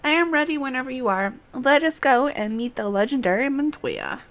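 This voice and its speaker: A woman's voice: high voice, big pitch swings, a little fast, fairly bright tone, exaggerated voice. A woman voicing a courteous, earnest young nobleman from a fantasy game in polite, formal speech. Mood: earnest, gracious.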